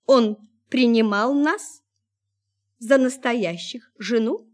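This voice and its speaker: An actress playing an ageless, gentle android mother in a radio drama, speaking with wistful resignation. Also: fairly high pitch, takes it slowly. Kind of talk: Soviet radio drama acting.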